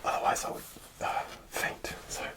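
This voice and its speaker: A man softly whispering a rambling ASMR story, with many fillers and restarts. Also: moderate pace. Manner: soft, relaxed whisper throughout. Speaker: ASMR creator.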